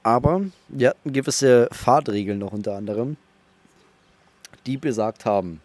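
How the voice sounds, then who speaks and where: A man's voice: medium pitch, normal speed, darkish tone, no echo. A young man with a Bavarian accent, a podcast co-host joking and teasing in casual banter.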